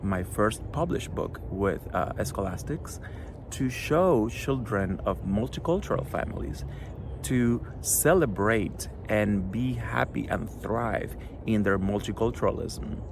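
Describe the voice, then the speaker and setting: A man's voice: on the low side, animated, gravelly, background noise. Earnest man with a Cuban Spanish accent. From Cuba. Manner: earnest, halting, many fillers. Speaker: children's book author. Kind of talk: recorded address to a school audience.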